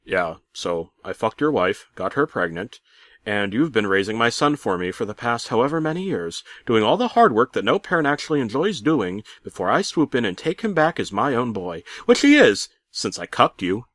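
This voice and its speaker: Casting: a man doing a smug, crude cartoon neighbour who taunts, in a parody horror story, animated pitch. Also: normal speed, dry recording, clean studio sound. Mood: smug taunting.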